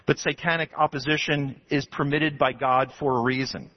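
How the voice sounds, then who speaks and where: A man pastor from Texas preaching an expository sermon to his congregation, animated and building to emphatic passages. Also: medium pitch, moderate pace. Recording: dull lo-fi sound.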